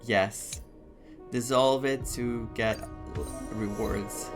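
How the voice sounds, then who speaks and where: A man from Greece doing game playthrough commentary and reading game text aloud in an expressive, enthusiastic manner. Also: mid-range voice, very slow. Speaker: gaming streamer. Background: game music.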